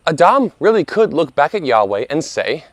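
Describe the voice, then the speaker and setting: A man in his thirties, slightly hoarse from a lingering cough, giving an earnest, conversational commentary with playful asides as host of his video channel. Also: fairly high pitch, big pitch swings, normal speed, no room sound, clean studio sound. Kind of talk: casual scripted commentary.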